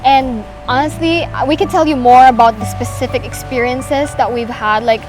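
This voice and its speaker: A young woman chatting casually to camera as a vlogger, full of fillers and quick restarts. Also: sits high, fairly even intonation, poor audio. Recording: music bed underneath.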